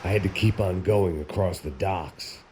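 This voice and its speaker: A very low-pitched American man, played by an actor, narrates like a washed-up noir bodyguard in a video game. He is terse, sardonic and bitter, a world-weary drinker, heard over clear background noise. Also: normal speed, close to an everyday voice. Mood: brooding. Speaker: narrating protagonist.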